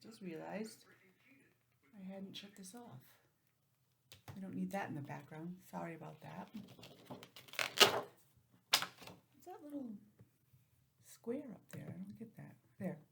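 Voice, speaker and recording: A woman's voice: on the low side, expressive, slow pace, vocal fry, long pauses, a little hiss or hum. A woman teacher leading an interactive lesson for young children, asking questions and adding chatty asides, recorded at home on a laptop. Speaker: preschool or early grades teacher. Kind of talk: interactive calendar lesson for young children over video call. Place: indoors at home, speaking into a laptop.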